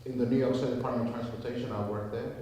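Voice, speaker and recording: Mellow-voiced man in a very echoey hall. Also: mid-range voice, fairly even intonation, very fast. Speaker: government construction office employee introducing himself. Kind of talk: informal remarks at an event. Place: large indoor hall at a community gathering. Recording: echoey hall, distant mic, faint noise.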